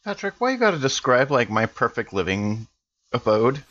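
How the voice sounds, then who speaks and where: A man's voice: medium pitch, very animated, moderate pace, fairly bright tone, dry recording, dull audio. A man chatting casually as a player in a tabletop role-playing game.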